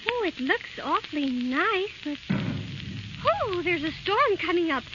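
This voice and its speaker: A woman's voice: extremely high pitch, very animated, unhurried, heavy background noise, heavily acted. An actress playing an innocent, trusting young fairy-tale princess, girlish and hesitant, in 1930s radio style. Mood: hopeful, hesitant. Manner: girlish, wide-eyed, hesitant. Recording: muffled 1930s radio recording.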